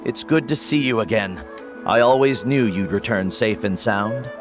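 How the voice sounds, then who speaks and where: A man playing a calm, wise old guide. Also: lowish voice, lightly acted. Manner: calm, measured and explaining. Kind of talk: video game voice acting. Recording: game audio with background music.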